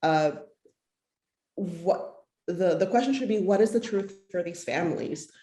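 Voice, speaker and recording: A woman on a panel talking earnestly and casually, halting as she searches for words, in a roomy recording. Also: fairly low pitch, expressive, dark tone. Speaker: author panelist. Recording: roomy, echoey sound.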